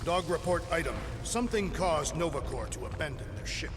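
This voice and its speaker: Guy as deadpan alien warrior in a game, super formal and literal, long echo. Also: a little high, a little fast, background noise, lightly acted. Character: solemn, literal-minded male alien warrior. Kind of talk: video game voice acting.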